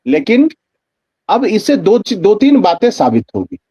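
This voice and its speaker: A man's voice: high-pitched, wildly swooping pitch, measured pace, dark tone, breathy edge, no echo, clean studio sound. A man speaking as a guest news analyst in conversational, pointed explanation, posing questions and answering them, over dull lo-fi audio. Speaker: senior journalist and political analyst, guest. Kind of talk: conversational political commentary.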